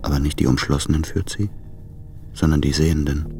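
An actor playing a brooding, self-doubting lover in a radio play, with measured, introspective delivery. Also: male voice, extremely low pitch, flat delivery, warm tone, soft background music. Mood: melancholy. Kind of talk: literary German radio play monologue.

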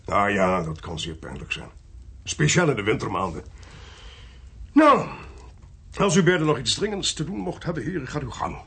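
An actor playing an inquisitive, genial amateur sleuth in a radio play, with a husky, gravelly voice and an expansive, teasing way of talking. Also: male voice, mid-range voice, big pitch swings, moderate pace, long pauses, fairly bright tone, exaggerated voice. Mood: musing, genial.

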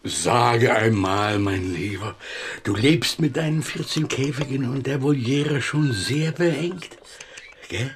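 A man's voice: medium pitch, slow, a little hiss or hum, close to an everyday voice. A man playing a cajoling, old-fashioned friend in a German radio play, florid and grandly persuasive. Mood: chiding, coaxing. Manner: florid, coaxing.

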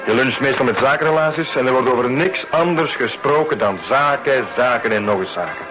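A man's voice: mid-range voice, brisk, music in the background, natural voice, poor audio. An actor playing an easygoing, chatty American businessman on holiday in a radio play. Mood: affable.

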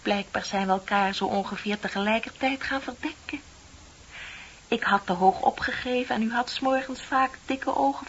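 Actress playing embittered middle-aged female colleague, radio play, emphatic, sardonic, confiding, slightly low-fi hissy recording. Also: a bit bright, dull audio, lightly acted. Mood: confessing, needling. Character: bitter, lonely middle-aged female office worker, needling a colleague. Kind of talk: Dutch radio play acting.

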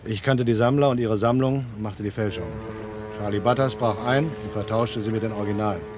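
An actor playing a weary, resigned art forger in a German crime radio play, terse and matter-of-fact. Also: male voice, lowish voice, fairly even intonation, a little fast, music in the background, lightly acted. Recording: vintage radio play, faint engine-like noise.

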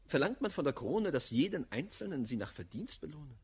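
A blunt, proud gentleman who despises flattery, in a German radio play of classic verse comedy. His voice is markedly rough and breathy, with lively, swooping intonation. He declaims emphatically and scornfully. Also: a little high, fairly quick, heavily acted. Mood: indignant.

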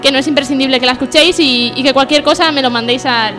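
A woman with a high voice presents her radio section informally. She is earnest and hesitant, often putting herself down, with faint music behind her. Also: fairly even intonation, fast. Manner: hesitant, self-deprecating, earnest. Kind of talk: informal spoken radio monologue.

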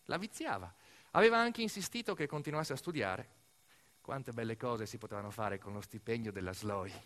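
A man's voice: medium pitch, animated, moderate pace, fairly bright tone. A man performing a theatrical narrative monologue, conversational and emphatic, full of asides and lists. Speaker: storyteller actor in a radio theatre monologue. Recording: music under the voice. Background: music.

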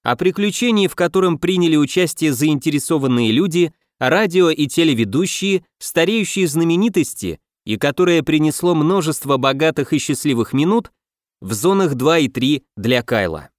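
A man's voice: a little high, lively intonation, moderate pace, no echo, clean studio sound. A man reading a scripted self-help book as a narrator, addressing the listener directly. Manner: rhetorical questions to the listener. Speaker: audiobook narrator.

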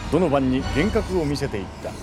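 An intense narrator played by a man reads dramatic scripted battle narration at a fast clip. His slightly high male voice is formal and urgent, with music clearly underneath. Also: animated, lightly acted. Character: brisk, intense male storyteller of battle scenes.

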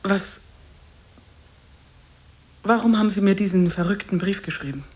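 An actress playing a bitter old woman in a radio drama, scolding and reproachful, halting and repeating herself. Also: medium pitch, a little mellow, close to an everyday voice. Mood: indignant, reproachful. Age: older.

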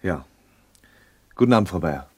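An actor in a German radio play playing a reserved professional husband, terse, courteous and formal. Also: male voice, low-pitched, expressive, moderate pace, background noise.

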